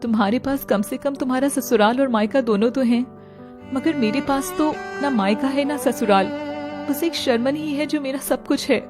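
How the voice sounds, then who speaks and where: Woman voicing a high, coaxing young city woman. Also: normal speed, lightly acted. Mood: plaintive. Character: pregnant young city woman, clingy, sweet-talking, pleading rival. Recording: music playing behind the voice.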